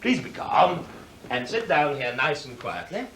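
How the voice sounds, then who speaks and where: An actor playing a brisk, bossy, easily amused theatre director in a radio drama, emphatic and condescending. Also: male voice, fairly high pitch, big pitch swings, fairly bright tone, slight background noise. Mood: reassuring.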